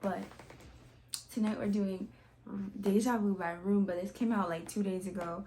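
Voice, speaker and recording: A woman's voice: medium pitch, fairly even intonation, normal speed, dark tone, slight background noise. A woman hosting a reaction video, talking casually and informally to her viewers, often addressing them as y'all. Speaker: host of music reaction videos. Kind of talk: casual chatty commentary.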